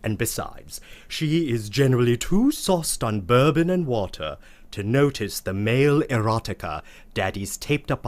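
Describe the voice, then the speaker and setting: An actor playing a prissy, verbose uncle with a pompous, ornate manner in a comic radio play monologue. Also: male voice, on the low side, darkish tone. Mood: gossipy, disdainful. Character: prissy, verbose, scandalized uncle telling family tales.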